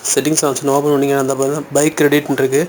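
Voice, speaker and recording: A man speaks at an ordinary middle pitch, guiding listeners step by step like an online tutorial host. His phrasing is informal and colloquial as he explains each action aloud. The amateur recording carries faint background noise. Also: little pitch movement, very fast, darkish tone.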